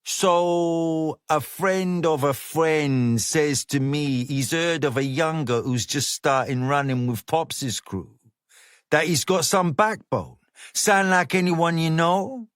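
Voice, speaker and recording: A man voicing a cocky, flashy male gang leader who talks in slang, smooth and menacing. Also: on the high side, slow, fairly bright tone, no echo, clean studio sound, pitched above the performer's own voice. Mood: coaxing. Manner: coaxing street slang, persuasive.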